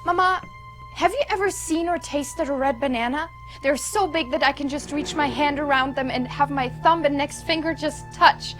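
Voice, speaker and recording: A woman's voice: sits high, moderate pace, music in the background, natural voice. A young woman playing an innocent, trusting Victorian girl on stage, with an earnest, childlike, theatrical delivery. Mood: wistful, innocent. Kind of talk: stage play acting. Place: theater stage with live audience.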